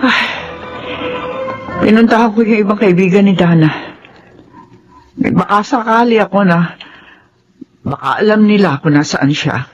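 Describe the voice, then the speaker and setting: Casting: middle-aged Filipino mother, worried and apologetic, mid-pitched raspy voice, radio drama. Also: unhurried, pauses often, highs cut off, soft background music.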